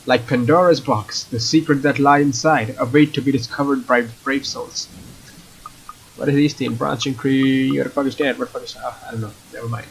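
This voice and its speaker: A man's voice: mid-range voice, fairly even intonation, moderate pace, vocal fry, slight background noise, poor audio. A young man hosting a let's play, commenting on a game and reading its text aloud in a casual, jokey way. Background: faint game music.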